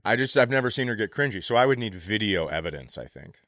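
A man with a deep voice banters as a comedy podcast co-host. His delivery is conversational and halting, slipping into imagined bits of dialogue. The recording is dry, with no audible room. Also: sing-song, fairly bright tone, no echo. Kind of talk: casual comic banter.

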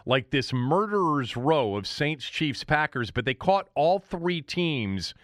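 A man's voice: fairly high pitch, sing-song, slow pace, a bit bright, no room sound, clean studio sound. A man hosting a sports show, talking casually and conversationally about the game. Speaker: sports podcast host.

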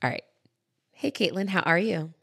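A woman's voice: lowish voice, expressive, normal speed, creaky, a bit bright, husky. A woman hosting a podcast in a casual, chatty interview style, with an upbeat, informal and encouraging manner. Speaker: podcast host and wedding planner.

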